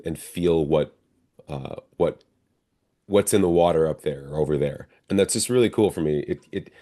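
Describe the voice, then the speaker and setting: A man's voice: sits low, warm tone. A middle-aged man, an actor, answering interview questions in a reflective, conversational way. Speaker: stage and screen actor, interview guest.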